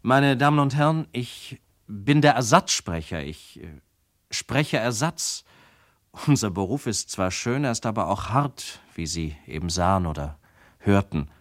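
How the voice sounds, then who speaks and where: An actor playing a deadpan, formal, slightly hesitant substitute radio announcer. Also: male voice, lowish voice, measured pace, no room sound, studio quality. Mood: deadpan, apologetic.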